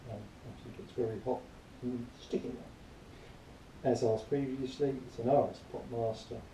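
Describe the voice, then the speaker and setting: A man giving an informal spoken review in a rambling, matter-of-fact, grumbling way, partly reading aloud, recorded quietly on a camera some distance away. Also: mid-range voice, steady tone, normal speed. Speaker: product reviewer. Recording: distant quiet mic, roomy echo, faint noise.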